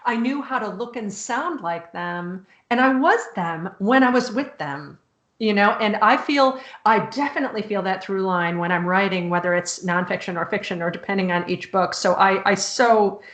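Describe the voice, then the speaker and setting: A woman's voice: medium pitch, moderate pace, a bit bright, roomy sound. A woman author hosting a casual conversation, a native Czech speaker raised in the United States, talking with many restarts and fillers. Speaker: host, author.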